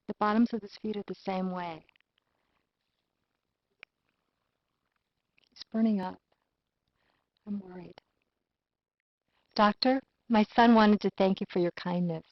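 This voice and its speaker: A woman's voice: mid-range voice, no room sound, lightly acted. An actress playing an earnest, anxious mother of a sick child in a game's medical drama. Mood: worried, then grateful. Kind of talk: acted medical drama dialogue. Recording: dry, dull game video audio.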